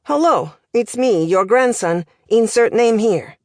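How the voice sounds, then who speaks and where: A woman's voice: a little high, expressive, a little mellow, no echo, clean studio sound, voice pushed up. Woman as a hesitant schoolboy. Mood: reciting. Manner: halting, questioning, broken English. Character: hesitant, earnest young male Romanian language student.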